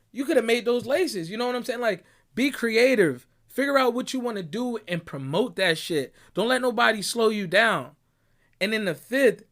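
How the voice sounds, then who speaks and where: A young man from Barbados with a notably high voice delivers a motivational monologue. He talks in an emphatic, preachy way, tagging his points with "right?" and "bruh" as he drives them home. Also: fairly bright tone. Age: young adult. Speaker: motivational speaker. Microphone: audio clip recording.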